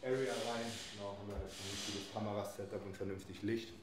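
A man's voice: on the low side, steady tone, fast, noisy background. A man, chatting casually and colloquially as he gives a gym tour. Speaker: gym owner giving a tour. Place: indoor gym rooms. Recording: echoey hall-like rooms, music underneath. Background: music.

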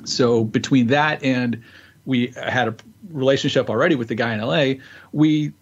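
A man's voice: mid-range voice, normal speed, breathy edge. Laid back guy telling a long story, keeps restarting, kinda muffled recording. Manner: rambling, easygoing, lots of restarts. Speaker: guest author and musician. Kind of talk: casual interview storytelling.

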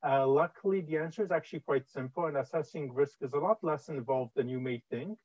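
A man's voice: on the high side, fairly quick, darkish tone, no echo. A man in his thirties with a Canadian accent, presenting a training webinar over slides on a video call, with frequent "uh" fillers in a conversational way. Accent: Canadian English. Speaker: webinar presenter on infection prevention. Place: indoor room, on video call. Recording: dull, dry video-call audio.

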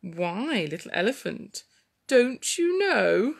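Lady doing a sneaky dangerous croc guy for a storybook, teasing, draggy, swoopy creaky voice. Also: a little high, sing-song, takes it slowly, exaggerated voice. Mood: sly, menacing. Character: sly, dangerous male crocodile toying with prey.

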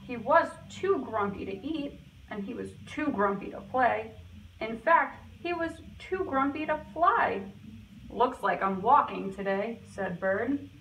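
Slow, high-voiced woman reading a storybook. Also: expressive, creaky, fairly bright tone, a little hiss or hum. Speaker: nursery teacher. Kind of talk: children's storybook read-aloud. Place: small classroom-style room indoors. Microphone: camera a few feet away. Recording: roomy, recorded at a distance.

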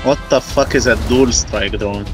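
Man gaming on voice chat, playful swearing, loud game music under him, mid pitch. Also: normal speed. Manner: joking, swearing, playful broken phrasing. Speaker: gamer. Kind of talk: casual voice chat while gaming.

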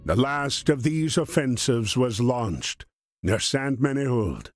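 Slow, measured male storyteller. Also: medium pitch, lively intonation, soft background music. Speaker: narrator. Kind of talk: scripted storytelling narration of a war video game.

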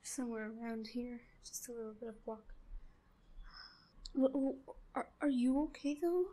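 A young woman voicing a shy, giggly, obsessive schoolgirl stalker in a roleplay audio drama, breathy and halting. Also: fairly high pitch, warm tone, close to an everyday voice. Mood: nervous, feigned concern.

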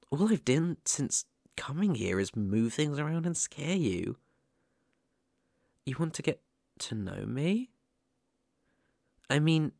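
A man plays a shy, lonely young British ghost in an ASMR roleplay. The delivery is gentle, apologetic and full of stammers, taken at a slow pace with pitch that moves freely. The sound is dry, with no room around it. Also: no echo, exaggerated voice.

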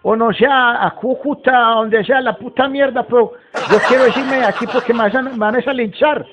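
Very high-pitched rustic old Colombian. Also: male voice, fairly even intonation, fairly quick. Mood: urgent, pleading. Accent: Colombian, Antioquia. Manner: folksy, rambling, swearing, repetitive. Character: rustic, foul-mouthed, agitated 78-year-old mountain man. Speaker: prank caller. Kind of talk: prank phone call, improvised character. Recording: muffled, very noisy phone recording with clicks.

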